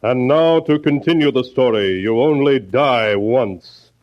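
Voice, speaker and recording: An actor playing an ominous storyteller host, narrating a 1940s radio mystery in a dramatic, deliberate manner. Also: male voice, mid-range voice, natural voice. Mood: ominous, suspenseful. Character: ominous storyteller reading from a sealed book. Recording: muffled 1940s radio recording, loud music. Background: loud music.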